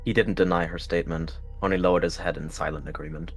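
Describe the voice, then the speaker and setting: A man narrates a scripted animal fantasy tale. His voice is low, and he reads in prose storytelling style. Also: steady tone, fast, slightly rough, faint music behind. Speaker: narrator and roleplayer.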